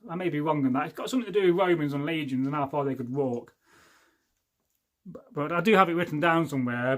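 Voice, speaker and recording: Rambling Englishman, slightly high voice. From England. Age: 40s. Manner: rambling and jokey, often losing his thread. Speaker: livestream host. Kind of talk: casual livestream chat reading viewer comments.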